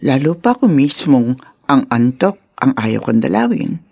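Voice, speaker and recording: A woman playing a gentle older mother who earnestly comforts and advises her troubled daughter in a Filipino radio drama, with a husky voice. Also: rumbling low, sing-song, measured pace, muffled recording, exaggerated voice. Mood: concerned.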